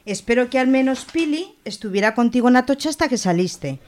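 A woman's voice: a little high, lively intonation, brisk, darkish tone, close to an everyday voice. A woman playing a fussy, overprotective middle-aged mother in a radio drama. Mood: worried, scolding. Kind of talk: amateur radio drama acting.

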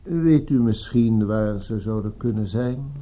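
A man's voice: on the low side, a little slow, dull audio, close to an everyday voice. An actor playing a courteous but insistent wealthy older businessman in a radio drama, speaking formally and directly. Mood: earnest, insistent.

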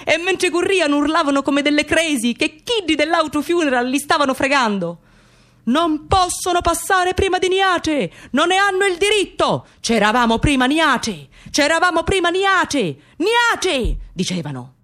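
An actress playing an excitable, gossipy immigrant woman with a Sicilian accent, telling a story in a theatrical radio monologue that mixes dialect with broken English. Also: sits high, normal speed. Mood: excited, mocking.

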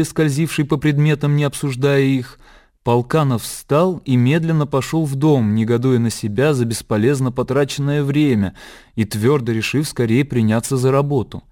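A man reading literary narration for a radio drama in a composed, measured storytelling manner. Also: mid-range voice. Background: soft music.

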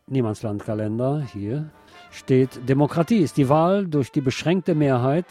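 A man's voice: mid-range voice, measured pace, dark tone. A man working as a radio host, reading out quotations and short commentary as he presents music.

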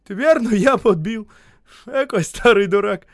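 A man voicing a wily old peasant in a story, shrill and querulous, wailing in folksy exclamations with a breaking voice. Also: unhurried, dry recording, studio quality. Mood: sheepish, penitent. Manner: wailing, whining, exclamatory folk speech.

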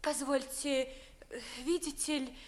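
A woman's voice: sits high, fairly even intonation, a little slow. A young woman playing a well-born, evasive daughter in a 1950s theatrical radio play, speaking verse in an affected, declamatory way.

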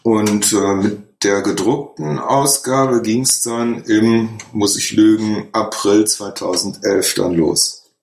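Low-voiced man in his forties, roomy. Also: takes it slowly, creaky, a little mellow. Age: 40s. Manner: hesitant, many fillers. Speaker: newspaper editor, guest. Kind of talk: casual conversation. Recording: roomy echo.

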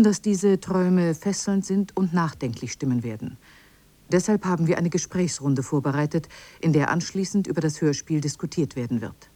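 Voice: mid-range voice, mellow, dry recording. A radio announcer reading a formal scripted introduction.

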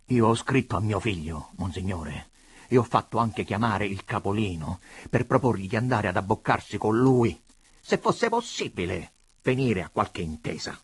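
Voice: low voice, expressive, normal speed, husky, exaggerated voice. A reader voicing a proud, hot-tempered, embittered old prince in a commanding, declamatory audiobook style. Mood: firm. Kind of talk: dramatic audiobook character reading.

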